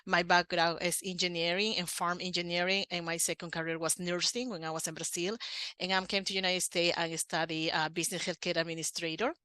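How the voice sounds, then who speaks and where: A man from Peru with a Peruvian Spanish accent, a Spanish native speaker giving an earnest webinar presentation over a video call as a program coordinator, his phrasing slightly halting. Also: sits high, level tone, pushed and bright, clean tone, no echo.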